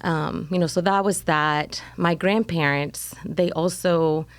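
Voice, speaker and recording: Low gravelly-voiced woman. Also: steady tone, takes it slowly, dry recording. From El Salvador. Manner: rambling, many fillers like "you know". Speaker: interview guest, foster mom. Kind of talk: casual conversational interview.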